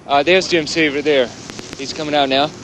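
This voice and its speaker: Male actor, high-pitched TV drama reporter, shaken and halting, on a faintly noisy outdoor live feed. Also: fairly even intonation, natural voice. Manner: halting, stammering. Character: shaken male field reporter, dazed after surviving a hostage ordeal. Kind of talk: live on-scene news report. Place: outdoors on a city dock.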